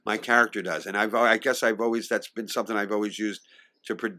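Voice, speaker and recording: A man in his sixties with a fairly low voice tells stories from his life in an interview. He trips over words now and then with a mild stutter and restarts. He is an animated storyteller who slips into the voices of the people he quotes. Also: fast talker. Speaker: singer and actor, interview guest. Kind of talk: reminiscing in a conversational interview.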